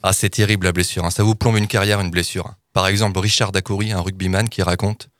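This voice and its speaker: A man's voice: low-pitched, level tone, quick pace, a bit bright, dry recording, studio quality. A man in his thirties reading a comic podcast column, wry and self-mocking, with theatrical touches. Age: 30s.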